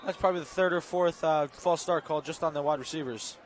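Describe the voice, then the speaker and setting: A young man giving relaxed, chatty color commentary outdoors at a football game. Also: a little high, a little hiss or hum. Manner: relaxed, chatty, with filler pauses. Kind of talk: live sports commentary.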